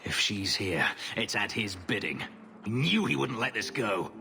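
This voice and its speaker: An actor playing a brooding male elf, an escaped slave and warrior, speaking in a grave, measured, bitter way. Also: fairly low pitch, big pitch swings, gravelly, pushed and bright, slight background noise, full character voice. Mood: grim. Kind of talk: video game voice acting. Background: game music score.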